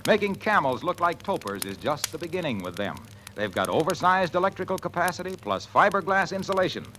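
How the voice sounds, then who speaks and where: An excitable, long-winded pitchman gushes folksy patter in a 1940s radio ad. His male voice is highish, bright and very animated, on a faintly noisy old recording. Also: a little fast. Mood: boastful, enthusiastic. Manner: folksy, gushing sales patter. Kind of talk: 1940s radio comic advertisement.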